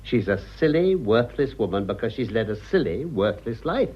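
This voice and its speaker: An actor playing a worldly, sardonic old English gentleman with polished manners, in a radio drama. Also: medium pitch, very animated, normal speed, breathy edge. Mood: scornful. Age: older. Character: cynical, urbane old English gentleman, amused and cutting. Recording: muffled radio recording.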